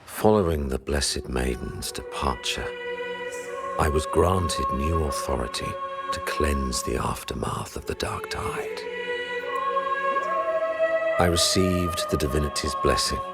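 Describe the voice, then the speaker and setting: An actor playing a grave, commanding religious leader in a video game, speaking in formal, zealous oratory. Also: male voice, a bass voice, huge pitch swings, slow, vocal fry, long pauses, exaggerated voice. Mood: solemn, reverent. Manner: solemn, oratorical, formal diction. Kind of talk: dramatic video game voice acting. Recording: loud orchestral music behind. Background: loud game music.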